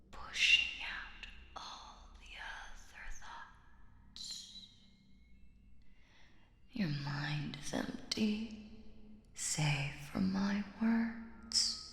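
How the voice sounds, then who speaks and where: A woman playing a sinister, possessive stage magician in a roleplay audio, speaking theatrically and coaxingly in a slow, silky manner. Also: fairly low pitch, fairly bright tone, echoey room, full character voice. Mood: hypnotic, hushed. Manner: theatrical, slow, silky, addresses listener as dear. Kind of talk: scripted roleplay audio acting.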